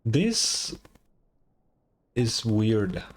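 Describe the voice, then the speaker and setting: A man's voice: fairly low pitch, sing-song, extremely slow. A man giving casual game reaction commentary in short, laid-back muttered remarks with some swearing.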